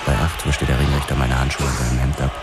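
Laconic ex-boxer cop, extremely low voice, measured noir radio narration, loud music underneath. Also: male voice, little pitch movement, a loud crowd. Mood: laconic, tense. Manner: measured, matter-of-fact storytelling. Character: laconic young male ex-boxer cop, first-person narrator. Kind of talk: scripted radio drama narration.

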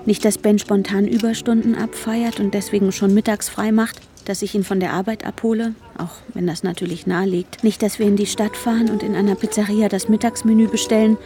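A woman's voice: medium pitch, lightly acted. An actress playing an intense, lovestruck woman, telling her own story intimately and broodingly in a radio drama, at times dropping to a whisper. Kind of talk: German radio drama first-person narration. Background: music.

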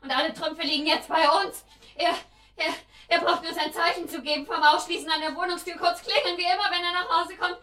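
A defiant hostage in a radio play. The voice is high and bright, with a tense edge, heard at some distance in a roomy space. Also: female voice, fairly even intonation, a little fast. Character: defiant captive woman held hostage by gangsters. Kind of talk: German radio drama acting.

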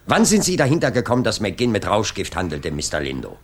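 A man's voice: on the low side, wildly swooping pitch, fairly quick, slightly rough, heavily acted. An actor playing a pushy, suspicious, sardonic police inspector in a radio drama, insistent and needling as he interrogates. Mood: probing. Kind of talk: 1980s German radio drama acting.

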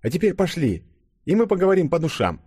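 A man's voice: a little high, sing-song, fast talker, darkish tone, slightly rough, no room sound, studio quality, pitched above the performer's own voice. A man voicing a feisty, bossy young woman who speaks in a snappy, demanding way for an audiobook.

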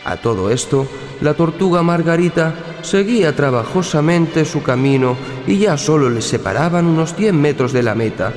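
A man's voice: fairly high pitch, lively intonation, moderate pace, hardly any pauses, darkish tone. Echoing male children's story narrator. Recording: echoing narration with long reverb, faint music.

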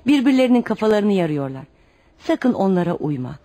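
A woman's voice: medium pitch, big pitch swings, brisk, mellow, lightly acted. An actress in a radio play playing a poor, devout widowed mother, protective and anxious, who speaks in an earnest, instructive way. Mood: worried, resigned. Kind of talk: radio theater acting.

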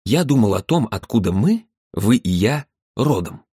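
A man narrating a literary first-person story in a measured, deliberate way, with dramatic pauses. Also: fairly low pitch, sing-song, mellow, slightly rough, dry recording, studio quality. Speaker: audiobook narrator.

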